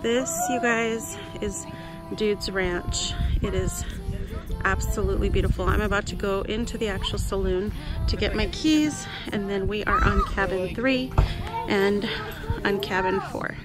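A woman's voice: mid-range voice, measured pace, loud music behind the voice, poor audio. A woman in her thirties narrating a casual vlog into a handheld phone, gushing as she addresses her viewers. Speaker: vlogger. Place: outdoors at a campground and inside cabins. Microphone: handheld phone at arm's length.

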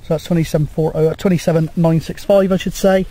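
A man's voice: a little high, steady tone, fast talker, warm tone. A British man giving a casual hands-on demonstration as a radio hobbyist, in a hesitant, rambling, matter-of-fact way. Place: outdoors on a grassy coastal hilltop. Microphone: handheld camera microphone. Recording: outdoor camcorder with clear background noise.

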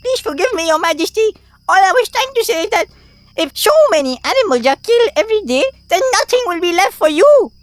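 A woman's voice: very high-pitched, sing-song, a little fast, no room sound. A woman voicing a small, nervous but clever male hare in a cartoon, stammering and deferential. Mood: nervous, respectful. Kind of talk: cartoon voice acting.

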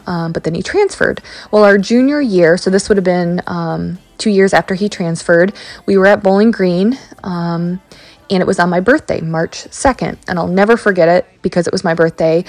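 A woman in her thirties talks casually to her viewers, rambling through a story with frequent ums and likes. Her voice sits a little low for a woman and sounds close and dry, with no room around it. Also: no echo. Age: 30s. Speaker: cleaning vlogger. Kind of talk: casual chat over cleaning footage. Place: carpeted home basement and small tiled bathroom.

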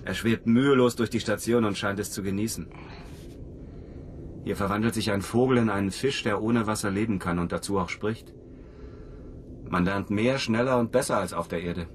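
An actor playing a lonely, embittered cosmonaut in a German radio drama, brooding and wistful. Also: male voice, on the low side, moderate pace, slight background noise, lightly acted. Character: lonely, embittered male cosmonaut aboard a space station.

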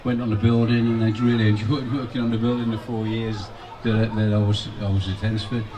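A man's voice: lowish voice, flat delivery, brisk. A man, a former player reminiscing in a casual, rambling way during an outdoor interview at a football ground. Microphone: handheld mic held by interviewer. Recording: distant mic, faint outdoor noise.

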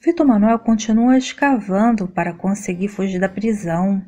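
A woman narrating a scripted soap opera episode recap in a steady, even read-aloud delivery. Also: mid-range voice, normal speed, soft background music.

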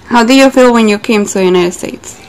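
A woman interviewer reads out polite, scripted questions. Her voice is high with a gravelly edge. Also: a little fast, low-quality recording.

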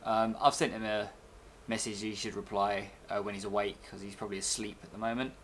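A man's voice: lowish voice, little pitch movement, brisk, a bit bright. Young guy rambling casually to his webcam in his bedroom, bit of background noise. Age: young adult. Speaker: video blogger. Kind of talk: casual rambling vlog chat. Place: small bedroom, indoors. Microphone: webcam at desk, arm's length. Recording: noisy webcam microphone recording.

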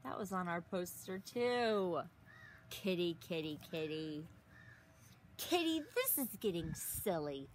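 A woman in her thirties reading a picture book aloud to young children outdoors, expressively, with exaggerated gasps and drawn-out words. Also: lowish voice, big pitch swings. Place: outdoors in a leafy garden. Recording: outdoor recording with some ambient noise.

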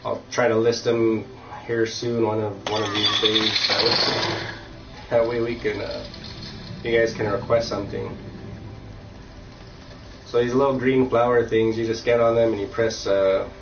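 A man's voice: fairly low pitch, level tone, a little slow, long pauses. A young man with a cold, chatting casually and rambling with lots of fillers while streaming a game from home. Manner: rambling, filler-heavy, easygoing. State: has a cold, sniffly. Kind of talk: casual live-stream chat while playing. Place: room at home. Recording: distant, roomy, dull lo-fi mic.

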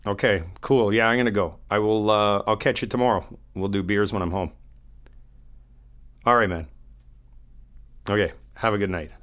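A man playing an easygoing, flirty hotel guest in an audio roleplay, chatting casually and haltingly in one-sided phone talk. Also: on the low side, steady tone, fairly bright tone, close to an everyday voice. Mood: casual, weary. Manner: casual, halting, slangy, swearing.

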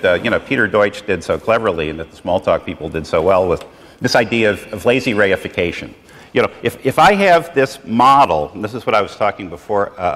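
A middle-aged man with a fairly low voice gives a loose, rambling conference lecture, leaning on "you know" as he goes. He is recorded in a lecture hall, and his voice trails off into a long reverb. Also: fairly bright tone. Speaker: conference speaker. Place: lecture hall with projected slides.

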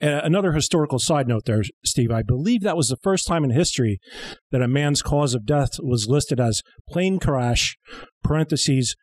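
A man's voice: medium pitch, expressive, normal speed, a little mellow, dry recording. A man in his thirties co-hosting a humorous film commentary show with a dry, sarcastic manner. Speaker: comedy show co-host. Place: small studio. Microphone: close studio mic on boom arm.

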